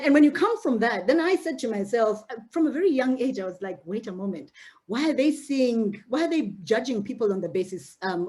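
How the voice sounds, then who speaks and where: A woman's voice: on the high side, a little mellow. A middle-aged woman from Uganda giving an earnest, direct talk to young women she mentors, often repeating words as she goes. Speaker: mentor speaking to a mentee cohort. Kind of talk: motivational talk.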